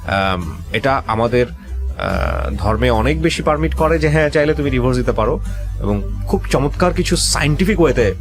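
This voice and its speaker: A man's voice: mid-range voice, animated, moderate pace, over music. A male radio host with a Bangladeshi accent, advising a guest in an insistent, questioning way and dropping in English phrases. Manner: mixes English phrases into speech. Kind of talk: advising a guest on a life-story show.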